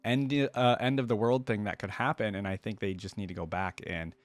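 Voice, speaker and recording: A man with a fairly low voice talks casually to his viewers as a video host. He rambles and reasons aloud, often hedging and drifting off track. The sound is dry, with no room echo. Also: fairly even intonation, fairly quick. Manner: rambling, digressive, thinking aloud with hedges. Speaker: video creator, reaction host.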